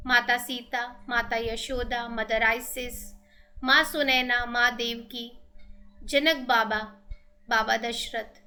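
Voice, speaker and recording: A woman with an Indian accent hosting a guided meditation, giving gentle, soothing instructions in drawn-out phrases. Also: a little high, fairly even intonation. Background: meditation music with singing bowl tones.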